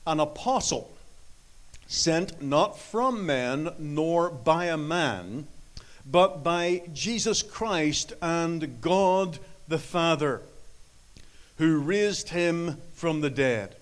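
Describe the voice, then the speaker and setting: A middle-aged man with an Irish accent, preaching a sermon in an earnest, halting way with many fillers. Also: fairly high pitch. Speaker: pastor. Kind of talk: sermon with scripture reading.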